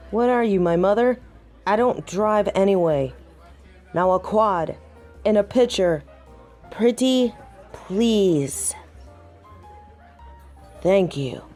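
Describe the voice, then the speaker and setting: A woman performs a proud she-wolf of an audio drama, second in her pack. Her voice is lowish and mellow, drawn out slowly. She is tipsy, prickly and drily sarcastic, with faint music behind her. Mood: tipsy, demanding. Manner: dry, sarcastic, drawling. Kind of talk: audio roleplay drama acting.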